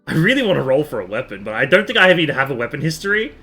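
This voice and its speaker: A man's voice: on the high side, big pitch swings, very fast, sharp tone, soft background music. A man streaming a game in casual chat, rambling and thinking aloud with the odd casual swear, over noticeable background noise. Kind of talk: casual live stream chat while gaming.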